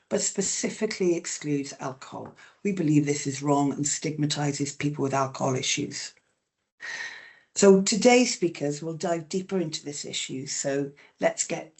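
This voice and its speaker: A woman's voice: low-pitched, a little mellow. A woman hosting a webinar, reading out a scripted introduction. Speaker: webinar host.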